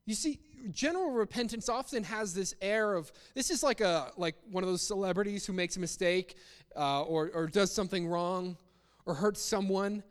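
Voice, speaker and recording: A man's voice: sits high, moderate pace, clear, resonant tone. A man preaching a sermon in a conversational but emphatic way, repeating phrases for stress. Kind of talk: sermon with acted-out example quotes. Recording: long hall reverb.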